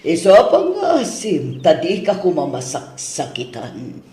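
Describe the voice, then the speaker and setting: In a roomy, echoing space, a man acts out an older mother-in-law in an audio soap. The voice is on the high side and swings expressively as this caring but strict matriarch admonishes in drawn-out phrases. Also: huge pitch swings, warm tone. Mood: scolding. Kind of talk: Ilocano audio soap drama acting. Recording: roomy, echoing studio sound.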